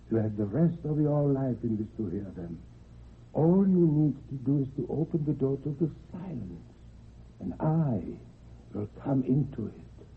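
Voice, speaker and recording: Solemn male spirit from beyond: slow, hypnotic, reassuring, widely swinging intonation, dull hissy old radio drama sound. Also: mid-range voice. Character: calm male spirit from another world.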